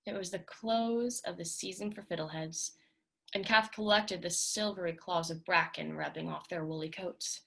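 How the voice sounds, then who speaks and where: Female reader for literary fiction, slightly low pitch, scripted dramatic narration. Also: steady tone, normal speed, creaky, fairly bright tone, low-quality recording.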